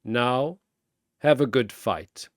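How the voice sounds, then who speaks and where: A man's voice: mid-range voice, drawn-out words, no room sound, studio quality. A man voicing a secretly terrified fighter who bluffs with bored condescension in a battle story. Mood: feigned boredom. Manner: flat, bored, condescending out loud.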